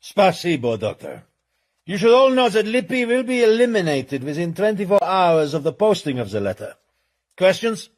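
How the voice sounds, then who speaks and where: A man acting in a radio drama as the coldly authoritative chairman of a criminal syndicate, formal, measured and commanding. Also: sits high, big pitch swings. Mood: cold, businesslike.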